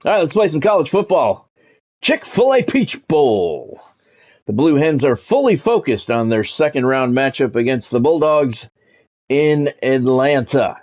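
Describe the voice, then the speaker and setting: A middle-aged man talks on a livestream as a game commentator. His pitch is average for a man, and his delivery moves between casual chat and announcer-style sports play-by-play. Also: big pitch swings, unhurried, darkish tone.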